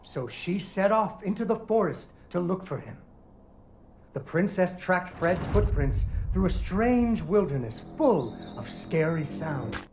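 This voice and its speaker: An actor playing a playful father in a video game, telling his daughter a fairy tale in an expressive storyteller's delivery. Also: sits high, unhurried, a little hiss or hum, exaggerated voice. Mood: playful storytelling. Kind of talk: animated bedtime-story narration in a video game. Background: guitar music.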